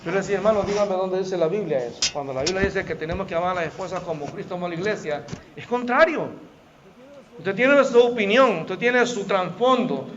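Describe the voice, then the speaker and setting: A man's voice: sits high, animated, brisk, highs cut off, background noise. A middle-aged man from El Salvador with a Salvadoran Spanish accent, preaching a sermon and talking to the congregation in a conversational way, often calling out to the brethren. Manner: conversational, addresses the congregation as "hermano". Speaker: pastor. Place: church hall.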